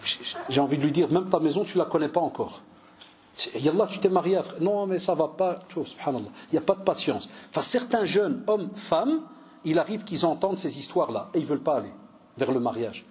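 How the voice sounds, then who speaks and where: A man in his forties from Belgium, an imam giving a religious lecture, telling lively stories and mixing in Arabic phrases. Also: a little high, brisk. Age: 40s. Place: mosque cultural center hall.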